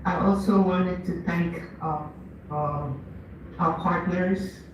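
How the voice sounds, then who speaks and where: A speaker from Ethiopia giving an earnest, measured commemorative speech at an event, lifting their voice on key words. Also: medium pitch, fairly even intonation, noisy background. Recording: dull, echoey hall, mic far off.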